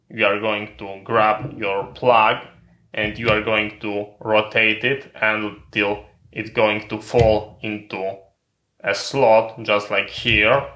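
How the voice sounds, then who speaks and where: A man presents a hands-on tutorial in an unhurried, very even voice with a crisp, bright edge. His English has non-native phrasing, and he explains each step methodically. The room adds a noticeable echo. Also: lowish voice. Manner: methodical, instructional. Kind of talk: step-by-step hands-on equipment demonstration. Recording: roomy, echoing sound.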